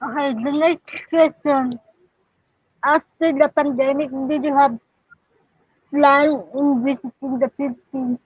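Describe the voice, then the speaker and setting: A woman's voice: sits high, steady tone, a little slow, pauses often, no echo, muffled recording. A woman hosting a casual interview and asking the questions.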